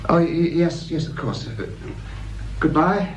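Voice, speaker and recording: An actor playing a highly strung scientist in a radio drama, nervy and hesitant. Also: male voice, animated, unhurried, a little hiss or hum. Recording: roomy, distant, dull radio recording.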